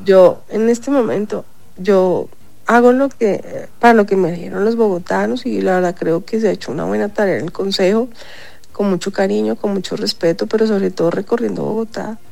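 A woman's voice: medium pitch, normal speed, creaky, mellow, dry recording. A woman, a city councillor, speaking emphatically in a radio interview with a congested, stuffy voice. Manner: emphatic, insistent, repeats points.

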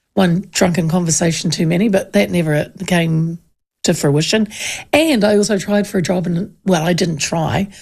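Gravelly-voiced New Zealand radio host, woman. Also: lowish voice, darkish tone. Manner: chatty, self-deprecating, laughs often. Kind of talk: casual talkback radio chat reading texts. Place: radio studio. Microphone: studio microphone.

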